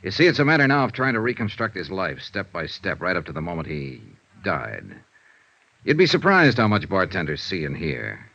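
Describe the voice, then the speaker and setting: Hardboiled detective, American, low-pitched, dry and skeptical, wide-swinging intonation, old dull radio recording. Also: male voice, big pitch swings, moderate pace, vocal fry, bright, forceful tone, no room sound, full character voice. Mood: calm, soothing. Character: cool, skeptical, hardboiled male insurance investigator. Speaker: actor in a radio detective serial. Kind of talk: 1950s radio noir acting and first-person narration. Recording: dull vintage radio recording, music behind.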